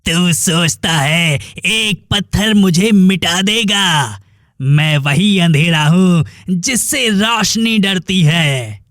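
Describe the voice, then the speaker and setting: A man's voice: sits high, a bit bright, no room sound, studio quality, exaggerated voice. A man playing an evil sorcerer's spirit in a cartoon story, grandiose and cruel in manner. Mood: boastful, menacing. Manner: menacing, grandiose, very slow. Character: evil male sorcerer spirit, boastful, cruel darkness incarnate. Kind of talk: cartoon villain acting.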